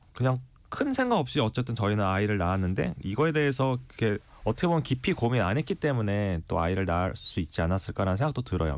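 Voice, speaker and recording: A man in his forties speaks as a studio guest. His voice sits a little low. He talks conversationally and reflectively, softening his points as he works through them. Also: no room sound. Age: 40s. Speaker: interview guest, writer. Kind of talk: conversational interview answers. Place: radio studio.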